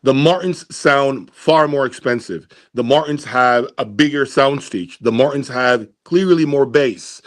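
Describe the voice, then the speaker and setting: Male gear reviewer, mid-pitched voice, casual and opinionated host delivery, deliberate, with emphatic repetition. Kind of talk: casual opinionated commentary to viewers.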